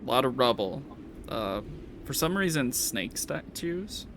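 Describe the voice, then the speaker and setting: A man casually running a tabletop game as game master, speaking haltingly with pauses to think. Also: medium pitch, sing-song, crowd noise. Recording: noisy, unclean home recording.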